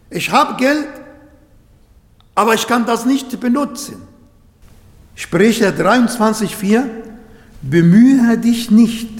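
A man who is not a native German speaker, preaching a sermon in slow, halting German with restarts. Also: high-pitched. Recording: echoey hall.

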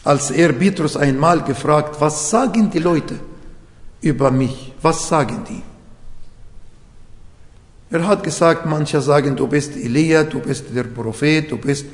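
A man's voice: fairly high pitch, measured pace. A man whose first language is Arabic, preaching a sermon in German with grammar slips and many rhetorical questions, recorded in a church hall. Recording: echoey hall with long reverb.

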